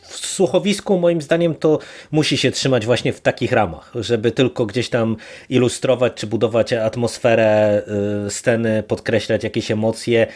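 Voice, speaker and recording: A man co-hosting a podcast, casually discussing a review in a halting way as he searches for words. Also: mid-range voice, steady tone, darkish tone. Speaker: podcast co-host. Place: virtual studio, remote recording.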